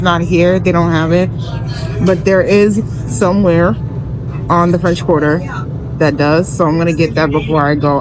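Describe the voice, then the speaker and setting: A woman's voice: on the low side, rough recording. A woman giving casual travel vlog commentary in a blunt, slangy, profane way. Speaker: travel vlogger and podcast host.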